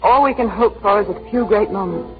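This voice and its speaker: Actress as a wistful romantic heroine, muffled recording. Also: female voice, mid-range voice, lightly acted. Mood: tender, wistful. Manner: wistful, poetic, measured. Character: wistful, romantic young woman on the run, bravely resigned. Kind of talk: 1940s romantic radio drama acting. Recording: muffled 1940s radio recording with music.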